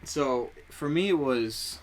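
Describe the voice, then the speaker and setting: A man's voice: mid-range voice, lively intonation, takes it slowly. A young man whose first language is Spanish, co-hosting a podcast in casual chat and rambling through stories while he often corrects himself. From United States. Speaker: podcast co-host.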